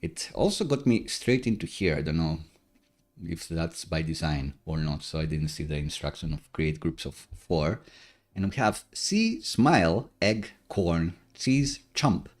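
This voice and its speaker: A man in his thirties, not a native English speaker, hosting a casual live coding stream from a room at home, chatty and upbeat with many filler uhs and small laughs. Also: low voice.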